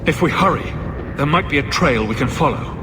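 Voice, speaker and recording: An actor playing a worried, determined son on a search in a fantasy video game, terse and urgent. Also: male voice, fairly high pitch, lively intonation, a little fast, heavy background noise, lightly acted. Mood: urgent worry. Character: worried, determined son tracking his missing mother.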